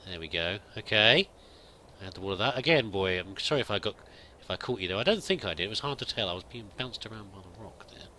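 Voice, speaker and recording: A man with a strikingly bright, sharp voice that sits fairly low. He thinks aloud while playing a video game in character, trailing off and restarting his sentences as he goes. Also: animated, fast talker, a little hiss or hum, rough recording. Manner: muses aloud, hesitant, many restarts. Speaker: let's play gamer roleplaying a pilgrim. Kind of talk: in-character gameplay commentary.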